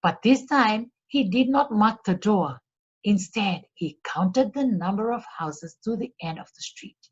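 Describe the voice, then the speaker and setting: A woman reads a children's story aloud at an unhurried pace, with lively rises and falls in her voice. Her tone has a soft husk to it, and the recording is a little dull. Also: medium pitch, husky. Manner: deliberate, expressive read-aloud for children. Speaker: storyteller for a read-along. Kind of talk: scripted storybook narration.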